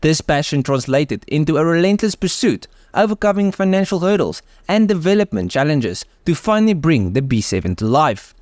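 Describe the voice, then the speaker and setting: A man's voice: fairly high pitch, very animated, normal speed, hardly any pauses, darkish tone, dry recording, clean studio sound. A man with a South African accent narrating a car video, enthusiastic and mixing scripted lines with offhand asides. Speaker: car channel host.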